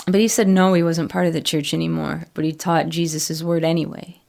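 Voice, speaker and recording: A woman playing a troubled former addict and ex-convict, telling her story plainly and haltingly in a horror audio drama. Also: deep voice, steady tone, vocal fry, darkish tone. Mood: confiding, uneasy. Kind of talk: first-person horror audio drama monologue.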